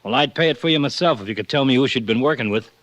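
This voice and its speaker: An actor playing an irritable, businesslike police lieutenant in a 1940s radio drama. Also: male voice, mid-range voice, racing, a bit bright, no room sound, natural voice. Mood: urgent, businesslike. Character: irritable male police lieutenant, gruff but loyal friend. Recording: dry vintage 1940s radio recording.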